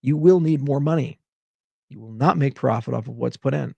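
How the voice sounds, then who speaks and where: A man's voice: medium pitch, normal speed, creaky, dark tone, breathy edge, no echo, dull audio. A man from Hawaii delivering an exasperated, rambling unscripted monologue as a tech founder giving commentary.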